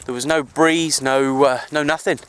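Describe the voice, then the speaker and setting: A man with a clear, fairly light-pitched voice talks to his handheld camera outdoors in the countryside. He is easygoing and chatty, rambling along like a hobbyist making a casual vlog. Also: steady tone, moderate pace, a bit bright. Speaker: amateur CB radio hobbyist vlogger.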